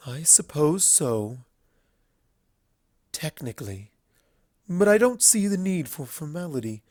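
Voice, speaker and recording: A performer voicing an aloof, wry female alicorn princess in a storybook reading, cool, measured and regal. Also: medium pitch, very animated, a little mellow, dry recording, studio quality, pitched above the performer's own voice. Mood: reserved, weary.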